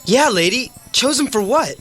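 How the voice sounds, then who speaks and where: A woman's voice: on the high side, animated, normal speed, a bit bright. A woman playing a brash, teasing, curious young boy in an audio drama. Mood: excited, curious. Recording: old cassette with faint music.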